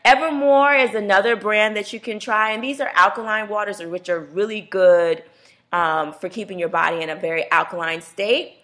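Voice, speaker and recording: A woman's voice: mid-range voice, normal speed, fairly bright tone. Chatty woman hosting a health radio show. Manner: chatty, laughs, voices others playfully. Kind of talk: casual radio talk.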